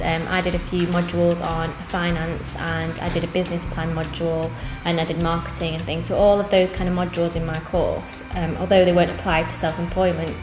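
Young woman, bakery co-owner, low earnest voice, echoey cafe room with music under it. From England. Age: 20s. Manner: earnest, plain-spoken with many ums. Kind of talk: interview answers. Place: cafe interior, seated on sofa.

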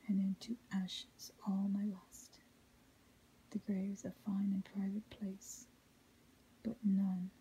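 A woman softly reading poetry in a breathy whisper, ASMR style, with a measured, melodic recitation. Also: mid-range voice, a little hiss or hum, rough recording. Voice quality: soft unvoiced whisper, breathy.

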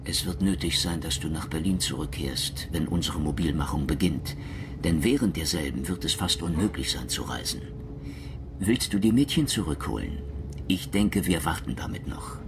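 An actor playing a dutiful senior German military officer, reading in a sober, measured, resigned way in an audio drama. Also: male voice, rumbling low, animated. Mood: concerned. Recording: clearly noisy ambient background.

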